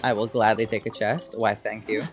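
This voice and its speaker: A man's voice: lowish voice, flat delivery, moderate pace, soft background music. A man giving casual gameplay commentary, laid-back and reacting in short asides. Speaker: gamer.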